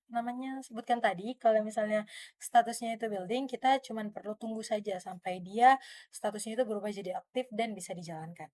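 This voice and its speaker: A young woman with an Indonesian accent gives an informal tutorial, explaining step by step in a halting way. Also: mid-range voice, a little mellow. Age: young adult. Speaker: student presenter.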